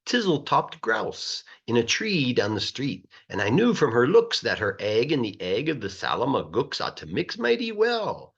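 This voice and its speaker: A man reading a children's rhyming story aloud in a playful, rhythmic, expressive way, like a teacher. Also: mid-range voice, normal speed, hardly any pauses, slightly rough, highs cut off. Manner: animated, playful, rhythmic verse delivery.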